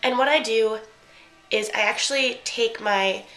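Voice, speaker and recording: A woman's voice: on the high side, a little slow, fairly bright tone, a little hiss or hum, low-quality recording. A young woman with a stuffy, congested voice, chatting casually through a makeup tutorial and often correcting herself mid-sentence. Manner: chatty, rambling, self-correcting. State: congested from a cold. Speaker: beauty vlogger.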